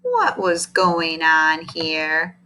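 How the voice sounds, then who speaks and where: A woman's voice: low-pitched, big pitch swings, extremely slow, bright, forceful tone, a little hiss or hum. Woman reading a children's story aloud. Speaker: storybook reader.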